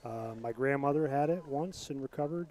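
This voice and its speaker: A soft-spoken man giving color commentary on a high school football game in a relaxed, conversational way. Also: a little fast.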